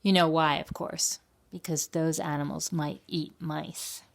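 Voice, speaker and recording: A woman's voice: low-pitched, level tone, a little slow, vocal fry. A woman reading a picture book for a library storytime, explaining and asking questions as if to young children.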